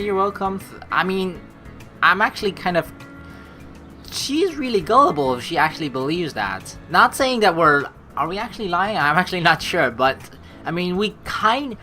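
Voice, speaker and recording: A woman's voice: on the low side, big pitch swings, normal speed, sharp tone, faint music behind. A woman hosting a let's play, reading game text aloud and breaking off into casual, rambling asides. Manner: casual, rambling, self-correcting. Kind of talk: reading a visual novel aloud with chatty asides.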